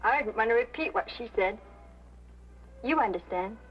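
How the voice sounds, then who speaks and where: A woman's voice: fairly high pitch, lively intonation, moderate pace, slightly rough. An actress playing an earnest, obliging young showgirl singer in an old-time detective drama, telling things dramatically. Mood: earnest recounting. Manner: earnest, dramatic, gossipy recounting. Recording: muffled old radio-era recording.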